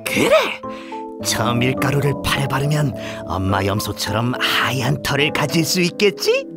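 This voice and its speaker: A woman voicing a big, sly, greedy wolf in a children's fairy tale, with a gruff, scheming delivery. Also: very deep voice, very animated, normal speed, heavily acted. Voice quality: gruff, gravelly. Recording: music behind the voice.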